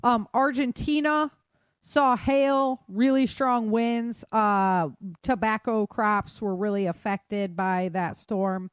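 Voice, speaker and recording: A woman's voice: a little high, fairly even intonation, slow, smooth, no room sound, studio quality. A woman hosting a podcast, giving a casual news roundup in an informal, chatty, colloquial way. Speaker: podcast host. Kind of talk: casual news roundup of world weather and disasters.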